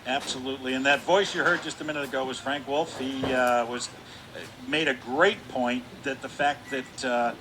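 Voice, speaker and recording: A man giving relaxed radio color commentary on a hockey game, trailing off into fillers as he talks. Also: medium pitch, normal speed, noisy background. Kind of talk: casual analysis. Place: hockey arena broadcast booth.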